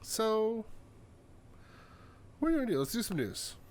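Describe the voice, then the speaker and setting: A man's voice: high-pitched, very animated, moderate pace, a little mellow. A man co-hosting a podcast, telling stories and news in a conversational way, acting out bits of quoted dialogue. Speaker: podcast co-host. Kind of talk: casual banter and storytelling.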